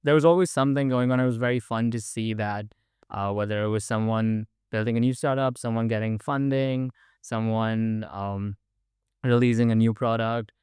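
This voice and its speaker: Eager young man, crisp voice. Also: mid-range voice, steady tone, moderate pace, darkish tone, no room sound, studio quality. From India. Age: young adult. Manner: eager, chatty, frequent "like" and "um". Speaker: podcast guest. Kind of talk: casual interview storytelling.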